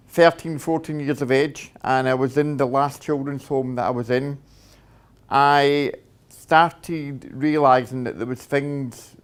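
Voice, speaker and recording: Scottish man telling his story plainly. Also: mid-range voice. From Scotland. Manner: plain and direct, many "uh" fillers and "okay?" check-ins. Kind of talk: personal testimony talk.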